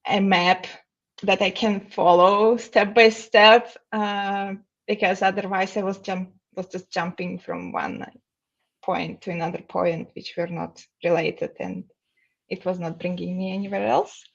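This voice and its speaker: Slow-talking Russian-accented woman, dull line. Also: medium pitch, fairly even intonation, vocal fry. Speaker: interview guest. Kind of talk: casual interview talk.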